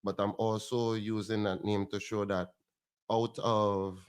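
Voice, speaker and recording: A man with a deep voice and a Trinidadian accent, a soca artist talking confidently and boastfully in a casual interview. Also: flat delivery, a little slow, clear, resonant tone. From Trinidad and Tobago. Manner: confident, boastful, laid-back.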